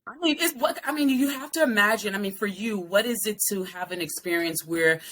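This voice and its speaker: A woman's voice: mid-range voice, brisk, fairly bright tone. A woman in her late forties hosting an interview, asking frank, thoughtful questions in casual conversation. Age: late 40s. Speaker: podcast host.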